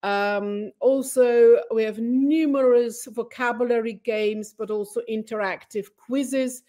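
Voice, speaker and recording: A woman's voice: a little high, steady tone, takes it slowly, mellow. A middle-aged woman, a teacher educator, presenting a webinar from her computer mic in a friendly, explanatory way with fillers. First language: English.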